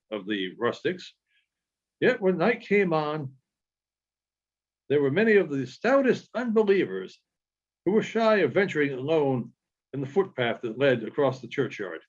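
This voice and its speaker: A man reading literary narration aloud as an audiobook reader, in a measured storytelling manner with halting phrasing. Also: a little high, very animated, highs cut off.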